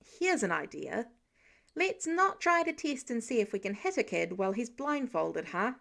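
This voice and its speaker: A woman's voice: fairly high pitch, very animated, moderate pace, creaky, a bit bright, exaggerated voice. Woman as a dry, scolding protective man. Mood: stern, sarcastic. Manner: dry, sardonic. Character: stern, protective middle-aged man, dryly scolding.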